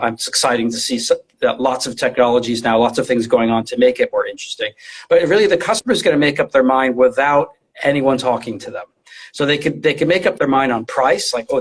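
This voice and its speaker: A man's voice: mid-range voice, slightly rough. Middle-aged man explaining informally, repeating himself. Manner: informal, explanatory, repeats words while thinking. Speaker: guest, retail design and visual merchandising specialist. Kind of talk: conversational interview explanation.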